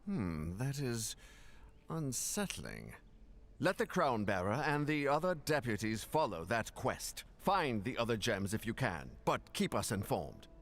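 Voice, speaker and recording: An actor playing a grave, authoritative council advisor in a fantasy video game, speaking formally and deliberately. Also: male voice, mid-range voice, sing-song, a bit bright. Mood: measured, troubled. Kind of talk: video game cutscene acting.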